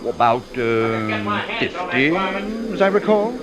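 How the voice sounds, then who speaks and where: An actor playing a genteel, coldly casual middle-aged estate owner, hemming and politely menacing, in old-time radio drama style. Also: male voice, medium pitch, sing-song, slow, a loud crowd. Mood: politely sinister.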